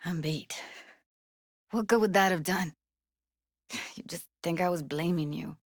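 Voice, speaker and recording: A woman's voice: on the low side, measured pace, dry recording, studio quality. An actress playing a tough but tender young techie woman in a video game, speaking in a casual, clipped, slangy way. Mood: tired, subdued. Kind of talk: naturalistic video game dialogue.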